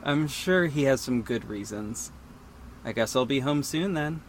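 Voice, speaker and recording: A man acting as a guarded, sardonic costumed vigilante in an audio drama, measured and terse, with an exasperated edge. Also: medium pitch, lively intonation, a little hiss or hum, close to an everyday voice. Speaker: narrator and lead actor. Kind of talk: first-person audio drama narration and dialogue.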